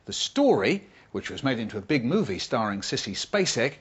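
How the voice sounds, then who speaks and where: Male presenter, very lively intonation, mid-pitched voice, book programme narration and studio hosting. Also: normal speed, slightly rough, muffled recording.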